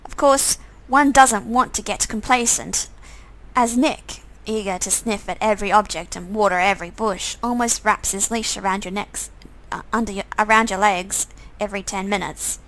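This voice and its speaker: A woman's voice: medium pitch, steady tone, moderate pace, fairly bright tone, low-quality recording. A woman streamer reading a visual novel game aloud as second-person story narration.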